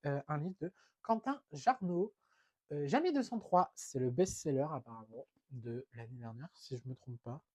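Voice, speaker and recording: A man's voice: fairly high pitch, no room sound. A young man with a head cold, talking through a stuffed nose in a chatty, hesitant book vlog full of fillers. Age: young adult. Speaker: book vlogger. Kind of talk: casual book haul vlog.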